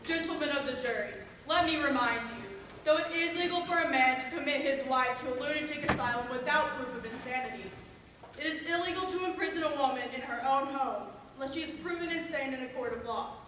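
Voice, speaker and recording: A woman's voice: high voice, fairly quick, lightly acted. A woman on stage playing a formal, authoritative judge with a solemn, official manner. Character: formal, authoritative 19th-century courtroom judge. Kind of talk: stage play acting. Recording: echoey hall, distant mic, faint noise.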